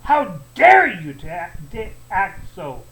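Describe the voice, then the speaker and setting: A man's voice: sits high, huge pitch swings, slow, a bit bright, full character voice, rough recording. A man playing a proud, hot-tempered storybook king who declaims with emphasis. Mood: furious. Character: proud, hot-tempered king out hunting, threatening then remorseful. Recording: noticeable background noise.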